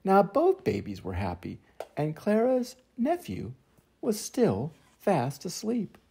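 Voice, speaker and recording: An older man reading a children's picture book aloud as an expressive storyteller. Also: high-pitched, slow, gravelly, slightly rough. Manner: expressive, acts out sound effects and voices.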